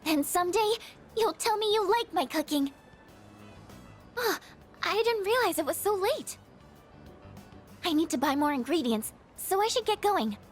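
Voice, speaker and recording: A woman playing a shy, gentle, insecure teenage girl in a video game, with a hesitant, apologetic, stammering way of talking. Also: very high-pitched, lively intonation, a little slow, long pauses, soft background music. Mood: pleased, eager. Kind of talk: video game character dialogue voice acting.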